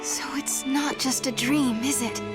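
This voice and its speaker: An actress playing a gentle, determined young heroine in a video game. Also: female voice, high voice, fairly even intonation, unhurried, loud music behind the voice. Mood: earnest, questioning. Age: young adult. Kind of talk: video game voice acting, part narration.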